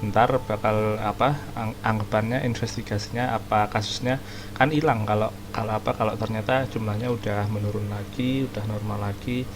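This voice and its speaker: A man's voice: fairly low pitch, steady tone, brisk. A man from Indonesia chatting casually while playing a game, explaining the story to viewers. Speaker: gaming streamer. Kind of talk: casual let's-play commentary. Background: game music.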